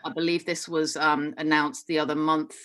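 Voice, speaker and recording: Woman with Hong Kong accent, forum panelist, bright crisp tone, eager and businesslike, frequent restarts, video-call sound. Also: sits low, level tone, normal speed. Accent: Hong Kong English. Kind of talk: conference talk.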